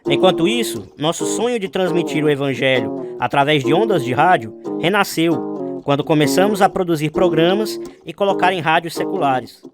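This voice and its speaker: An actor playing an earnest missionary husband with a Brazilian accent, telling his life story in a radio drama with sincere, measured delivery. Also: fairly high pitch, animated, over loud music, close to an everyday voice. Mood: reflective narration. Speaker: narrator and lead character. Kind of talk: dramatized radio testimony, scripted narration and dialogue.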